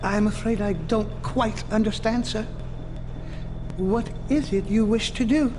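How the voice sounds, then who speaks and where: Prissy railway clerk in echoing hall. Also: male voice, sits high, steady tone, a little slow, background noise. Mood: officious. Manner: prissy, formal, over-polite, bureaucratic. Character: officious, pedantic railway baggage clerk quoting regulations. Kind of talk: comic video game dialogue acting. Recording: long reverberant hall, music behind.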